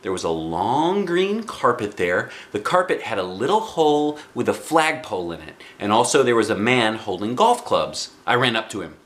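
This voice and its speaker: A man in his forties reading a children's book aloud expressively, with dramatic pauses and emphasis. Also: on the low side, big pitch swings, a bit bright. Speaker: storybook reader. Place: small living room indoors.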